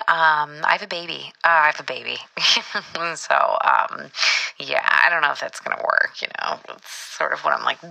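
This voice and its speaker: A woman playing a scatterbrained new-mother actress, halting and rambling through a phone message in an audio comedy. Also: sits low, gravelly, bright, forceful tone, full character voice, rough recording.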